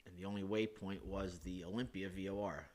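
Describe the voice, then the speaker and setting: A man, hoarse from a chest cold, hosting a screen-recorded flight simulator how-to, talking it through casually with self-corrections and filler sounds. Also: low voice, a little fast. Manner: relaxed, thinking aloud with frequent uh and self-corrections. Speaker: flight sim hobbyist host.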